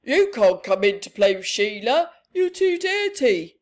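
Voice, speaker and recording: A woman's voice: fairly high pitch, sing-song, unhurried. Woman playing an emphatic, scolding storybook father. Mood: exasperated. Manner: emphatic, exasperated. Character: stern, scolding father fed up with a dirty child.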